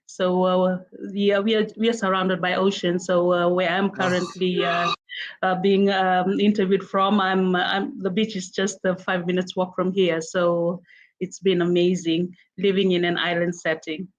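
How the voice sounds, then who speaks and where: Halting Fijian woman on a lo-fi call. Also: fairly low pitch, little pitch movement. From Fiji. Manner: halting, many "uh" and "um" pauses. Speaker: interview guest. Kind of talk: casual interview. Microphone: video call. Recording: dull lo-fi online call audio.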